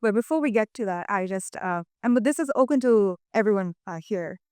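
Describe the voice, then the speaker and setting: A young woman interviewing a seated panel as host, phrasing her questions a little haltingly. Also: mid-range voice, animated, darkish tone, clean tone, no room sound, clean studio sound. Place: indoor studio, seated panel on sofas.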